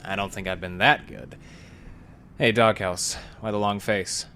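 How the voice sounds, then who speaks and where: An actor playing a wry, folksy library detective who narrates and talks in a noir-style radio play, with a kindly streak. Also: male voice, on the low side, fairly even intonation, unhurried, creaky, bright, forceful tone, full character voice. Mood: dryly teasing.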